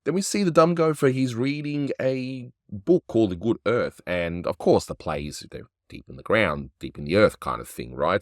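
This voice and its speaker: A man hosting a casual cartoon review, talking in a relaxed, offhand way and chuckling often. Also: low-pitched, no room sound, studio quality. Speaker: animation reviewer, host.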